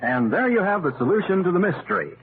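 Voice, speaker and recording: A thin, hissy 1930s radio recording of an American male announcer. He speaks straight to the audience in a chummy hosting style, fairly high in pitch and very animated. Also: fast talker, creaky, narrow, tinny audio, soft background music. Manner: chummy, direct address to listeners. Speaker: announcer and host.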